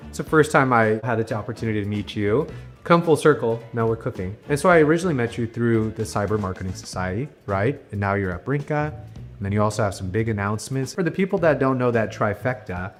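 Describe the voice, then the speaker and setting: Brief: male host, lowish voice, upbeat pitchman delivery, soft guitar music behind. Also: animated, fast talker. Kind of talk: casual conversational interview.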